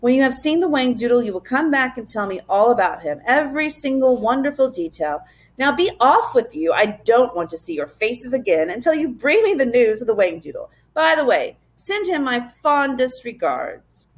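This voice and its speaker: A man's voice: very high voice, sing-song, normal speed, low-quality recording. A woman voicing a kindly, brave older male professor from a storybook, with emphatic, formal old-fashioned phrasing. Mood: tender, resolute.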